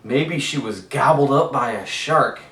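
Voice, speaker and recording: A man reading aloud and voicing a class of eager schoolchildren in high, loud voices, recorded in an echoey room away from the mic. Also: lively intonation, a little slow, distant mic.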